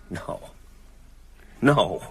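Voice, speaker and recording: An actor playing a shaken but determined vampire hunter in a radio drama, speaking tersely and intensely. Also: male voice, very slow, soft background music, low-quality recording. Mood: stunned grief.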